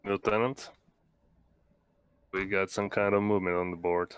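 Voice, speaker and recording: A man's voice: low-pitched, flat delivery, normal speed, smooth. A man playing a tabletop game, talking in clipped military style as his character.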